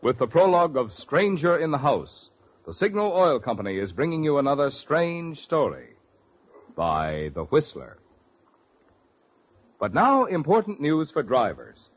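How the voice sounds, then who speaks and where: High, animated 1940s radio announcer man. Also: a little slow, husky. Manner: polished, emphatic. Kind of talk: 1940s radio advertising read. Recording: dull vintage radio recording.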